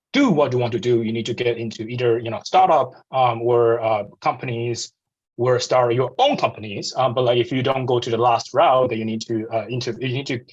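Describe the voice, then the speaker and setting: A man in his thirties from Taiwan with a Taiwanese accent, giving a slide talk to students over a video call, halting with many fillers and restarts. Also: mid-range voice, husky, lo-fi sound. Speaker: guest speaker.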